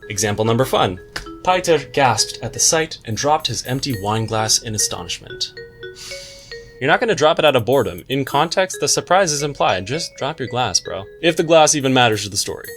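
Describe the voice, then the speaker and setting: A man's voice: mid-range voice, animated, a little fast, fairly bright tone, faint music behind. A man giving a scripted book review commentary in a wry, tongue-in-cheek, slangy way. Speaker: book reviewer, video host. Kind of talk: scripted video essay commentary with slangy asides.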